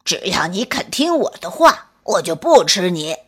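A woman's voice: lowish voice, very animated, normal speed, fairly bright tone, breathy edge, pitched below the performer's own voice, full character voice. A woman voicing a menacing, threatening big grey wolf in a children's bedtime story. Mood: sly. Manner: growling, threatening.

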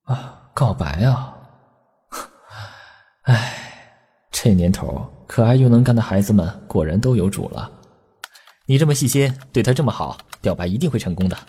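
A man's voice: on the low side, expressive, moderate pace, long pauses, dark tone, slightly rough, exaggerated voice. A man playing a flustered, secretly smitten male shop owner in an audio drama, sighing and muttering to himself. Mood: gentle, resigned. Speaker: voice actor in an amateur radio drama. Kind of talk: Chinese audio drama acting. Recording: music behind the voice. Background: background music.

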